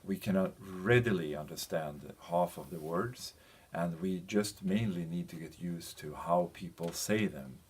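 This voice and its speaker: A man presenting an informal slide lecture as a teacher, speaking in a hesitant, halting way with frequent pauses. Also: low-pitched, darkish tone. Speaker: university lecturer presenting a lesson. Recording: clear background noise.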